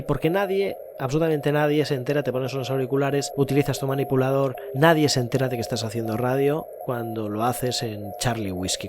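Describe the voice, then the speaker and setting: A man's voice: mid-range voice, background noise. A man with an accent from Spain hosting a podcast, explaining things point by point in a didactic way. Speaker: podcast host. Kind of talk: podcast explainer on amateur radio.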